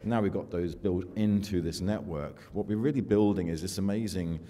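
An older man delivers a keynote from a podium in a large hall, his voice low and mellow. He speaks in a measured, self-deprecating way, pausing on fillers, and the room adds a long reverb over a faint hum of ambience. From United Kingdom. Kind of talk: conference keynote talk. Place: large conference hall with audience. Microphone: podium microphone.

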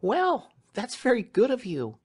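A man voicing a warm-hearted, excitable serving woman in an audiobook, with a plain, artless, emphatic way of talking. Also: very high-pitched, measured pace, husky, pitched above the performer's own voice, exaggerated voice. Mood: simple, good-natured.

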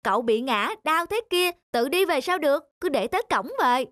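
A woman's voice: sits high, animated, normal speed, a bit bright, dry recording, studio quality. A woman voicing a big, kind-hearted, helpful bear with an earnest manner in a children's cartoon. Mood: concerned, helpful.

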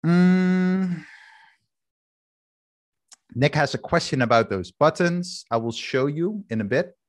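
A man's voice: a little high, expressive, slow pace. A man in his thirties whose first language is Dutch, casually walking through a screen-share demo over a headset on a video call, thinking aloud with restarts. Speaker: workshop host. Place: home room, indoors. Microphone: headset with video call camera.

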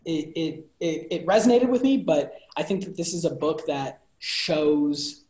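A man's voice: fairly high pitch, measured pace. Young man, casual book chat, fillers and restarts, video call, echoey room. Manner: rambling, many fillers and restarts; animated hand gestures. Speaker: book review co-host. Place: bright room at home. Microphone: video call laptop. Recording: roomy echo.

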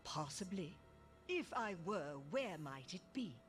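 An actress playing a haughty, cold evil sorceress in a video game, imperious and measured with menace. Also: female voice, on the low side, very animated. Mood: guarded, sly. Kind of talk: animated video game acting. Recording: music behind the voice.

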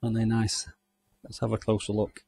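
Man from the UK, lowish voice, chatty garden tour, outdoors, camera mic, faint background hiss. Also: monotone, a little fast, vocal fry, warm tone. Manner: chatty, enthusiastic, thinking aloud. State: hot and overdressed while walking. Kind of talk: walking tour narration. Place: outdoors in a large country garden. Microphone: handheld camera mic, walking.

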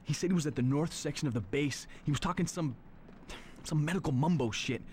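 An actor playing a rattled soldier in a video game, with an agitated, slangy way of talking. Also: male voice, a little high, brisk, a little mellow, a little hiss or hum, close to an everyday voice. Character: rattled, foul-mouthed young soldier. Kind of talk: video game cutscene acting.

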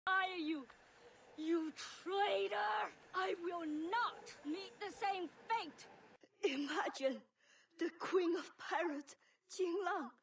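A grave, defiant pirate queen in a video game. She is wounded but proud, with a high voice and slow, halting phrases. She sounds slightly distant from the microphone. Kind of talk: video game cutscene acting.

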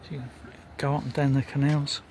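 A man's voice: medium pitch, level tone, fairly quick, a little mellow. A man giving casual, unscripted travel commentary as a vlogger, recorded on location while sightseeing. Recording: noisy handheld recording with street ambience.

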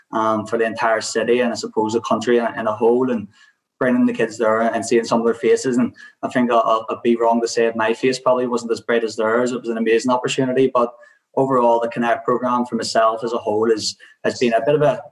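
A man's voice: mid-range voice, rough recording. A young man with a Belfast accent giving a hesitant, rambling personal testimonial over a video call. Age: young adult. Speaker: community football programme ambassador. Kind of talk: personal testimonial at an online forum.

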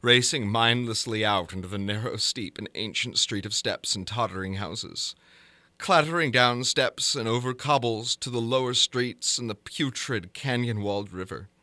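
A man giving a theatrical, deliberate dramatic reading of gothic horror fiction, moving between hushed and intense delivery. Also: mid-range voice, dry recording. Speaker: narrator reading a horror story.

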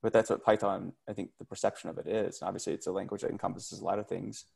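A man's voice: low voice, fairly even intonation, racing, vocal fry, darkish tone, breathy edge. A man in casual video call discussion, rambling with many fillers. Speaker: meetup participant.

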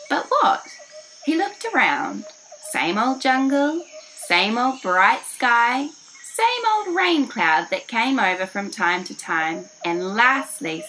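A young woman reads a picture book aloud to small children. Her voice is bright and swings widely in pitch, with an unhurried pace. She enunciates carefully and expressively. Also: vocal fry, slight background noise, rough recording. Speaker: children's story reader. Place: small furnished room indoors.

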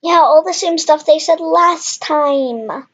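High-voiced young female gamer with a cough. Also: takes it slowly, fairly bright tone. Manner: chatty, exclaims at the game, narrates own moves. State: slight cough, clears throat. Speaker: gaming channel host. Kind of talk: casual Minecraft let's play commentary.

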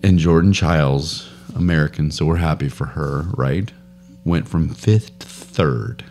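Podcast co-host, thirties, deep male voice, rambling storyteller, close studio mic. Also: unhurried, a little hiss or hum. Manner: rambling storyteller, laughs often, animated. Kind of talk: casual chat. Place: home studio room, indoors. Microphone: boom studio mic with headphones.

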